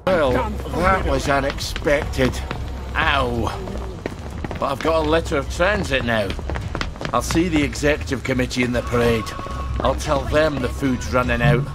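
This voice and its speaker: An actor playing a blunt, weary, sardonic rebel in a video game. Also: male voice, mid-range voice, measured pace, music in the background, natural voice. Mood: exasperated. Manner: blunt, sardonic, foul-mouthed. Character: gruff, sardonic, weary rebel man named Ollie. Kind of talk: dramatic video game voice acting.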